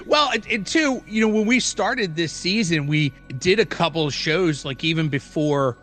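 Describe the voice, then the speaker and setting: A man's voice: a little high, moderate pace, a bit bright, soft background music. A middle-aged man co-hosting a podcast, chatting casually and agreeably, often repeating his words. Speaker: podcast co-host. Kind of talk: casual chat.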